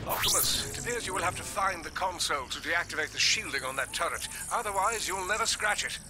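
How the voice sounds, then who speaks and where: An actor voicing a fussy, precise robot scientist in a video game, speaking in a formal, wordy, clinical manner. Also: male voice, high voice, quick pace, over music, natural voice. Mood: brisk, clinical. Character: fussy, precise male robot scientist, calm mission adviser.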